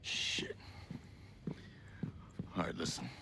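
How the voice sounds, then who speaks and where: An actor playing a tough, threatening soldier hero in a military shooter game. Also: male voice, drawn-out words, background noise. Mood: urgent. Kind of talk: video game dialogue.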